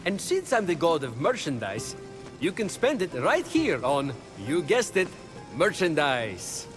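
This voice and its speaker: Glib cheeky game trickster god, high lively voice, wry sales patter, music going behind. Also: male voice, unhurried. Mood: salesman-like, cheeky.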